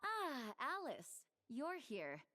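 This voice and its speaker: An actress voicing a dutiful, apologetic young knight officer in a video game, speaking formally and politely. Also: female voice, sits high, huge pitch swings, drawn-out words, bright, forceful tone, a little hiss or hum, heavily acted. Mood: apologetic, firm. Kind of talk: video game character voice acting.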